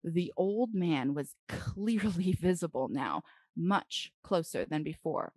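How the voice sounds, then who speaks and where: A woman reading a children's storybook aloud dramatically, with deliberate phrasing and expressive pauses. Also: on the low side, dry recording.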